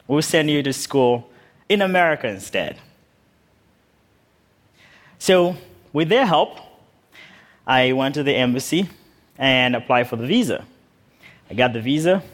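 Man telling his story on stage. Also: medium pitch. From Uganda. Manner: humorous, vivid storytelling. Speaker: speaker. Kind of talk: storytelling talk. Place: stage in a large hall.

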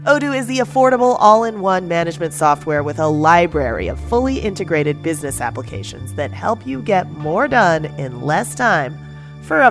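A woman reading a podcast sponsor message in a bright, upbeat, scripted way. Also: lowish voice. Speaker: ad and intro announcer.

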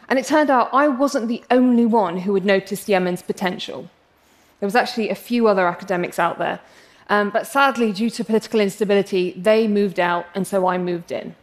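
A young English woman with an English accent giving a prepared public talk, passionate and wry with self-deprecating humor. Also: medium pitch. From England.

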